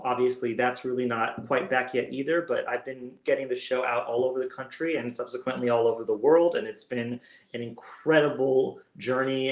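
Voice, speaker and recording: A young American man, a guest performer on a video call, answering interview questions in an upbeat, expansive way. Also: medium pitch. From United States. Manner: upbeat, expansive, gestures while talking. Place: home room with world map on wall. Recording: roomy video call audio.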